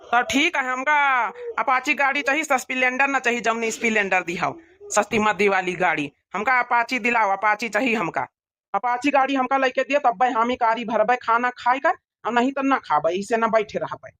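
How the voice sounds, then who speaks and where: A woman's voice: on the high side, normal speed, pushed and bright. A woman voicing a stubborn, demanding young village bridegroom in a comedy cartoon, sulky and insistent, on lo-fi audio. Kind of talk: amateur comedy cartoon dialogue.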